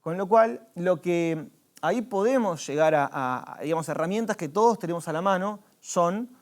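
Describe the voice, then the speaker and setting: A man's voice: high voice, lively intonation, moderate pace. Patient Argentine man explaining money. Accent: Argentine Spanish. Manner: patient, explains with examples, hesitant restarts. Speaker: financial adviser guest. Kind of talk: explanatory conversation.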